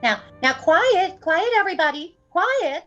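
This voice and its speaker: Fussy gushing hostess played by an actress, very high, swooping voice, fluttery radio-play delivery. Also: female voice, very animated, unhurried, bright, forceful tone, muffled recording, soft background music, exaggerated voice. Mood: fussy, excited. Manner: fluttery, stammering, coaxing. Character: fussy, gushing society hostess herding party guests. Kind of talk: old-time radio play acting.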